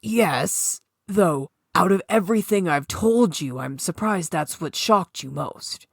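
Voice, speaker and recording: A woman's voice: lowish voice, lively intonation, slow, gravelly, dry recording, studio quality, heavily acted. A woman voicing a solemn, earnest old ghost of a theatre founder in a story reading. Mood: wry.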